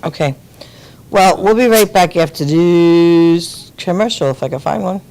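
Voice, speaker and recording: A woman's voice: fairly low pitch, unhurried, warm tone. A woman co-hosting a live web show, answering her partner with dry, scolding retorts. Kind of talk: casual banter on a live stream.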